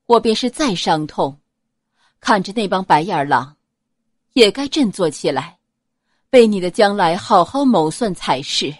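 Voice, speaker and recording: A woman voicing a gentle, dignified noblewoman and mother, reasoning softly in an audiobook. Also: mid-range voice, lively intonation, unhurried, pauses often, dry recording, clean studio sound. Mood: resolved.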